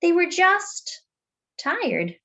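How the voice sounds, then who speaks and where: A woman reading a children's picture book aloud, with dramatic, deliberate phrasing and drawn-out words. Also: very high voice, sing-song, pushed and bright. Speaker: children's story reader.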